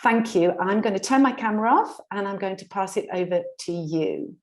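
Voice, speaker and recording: A woman's voice: medium pitch, expressive, fairly quick. A woman hosting a webinar over a video call, friendly and chatty, with small restarts as she goes. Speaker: webinar host.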